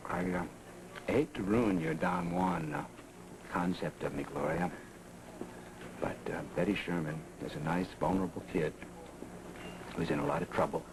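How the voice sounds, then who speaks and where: An actor playing a guarded, wry investigator in a 1980s daytime soap, talking with many hesitant pauses. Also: male voice, low voice, lively intonation, creaky. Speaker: soap opera character. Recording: hissy 1980s TV broadcast tape.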